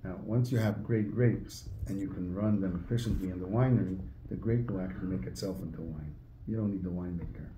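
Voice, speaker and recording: An older man in his sixties with a very low, mellow voice talks casually as an interview guest, halting and restating himself as he explains. It is picked up by a phone across a table in a roomy space with faint noise. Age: 60s. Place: indoor room at a table. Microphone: phone camera across a table.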